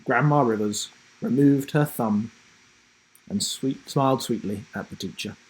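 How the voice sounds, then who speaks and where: A man reading a children's story aloud in a dramatic, deliberate narrating style. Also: mid-range voice, husky, low-quality recording. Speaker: storybook reader, host.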